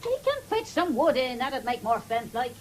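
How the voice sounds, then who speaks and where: An actress playing a scolding farmer's wife in a radio drama, with a rural English accent and a bossy, grumbling manner. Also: female voice, sits high, very animated, normal speed. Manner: sharp-tongued, grumbling, bossy. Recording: faintly noisy 1970s radio recording.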